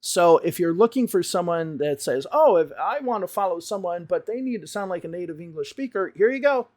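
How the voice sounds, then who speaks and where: A man, an English teacher, giving emphatic, animated commentary on a video he is reacting to. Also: high voice, fairly quick, no room sound.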